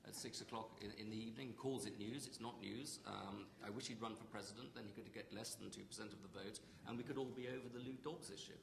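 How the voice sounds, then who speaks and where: A middle-aged man runs a panel discussion with a low, gravelly voice and drops dry, pointed remarks. The room is echoey, and a clear hiss sits under his voice. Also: fairly even intonation, fast talker, hardly any pauses. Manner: dry, opinionated asides. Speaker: moderator. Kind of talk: moderating a panel. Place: lecture hall. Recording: echoey hall with background hiss.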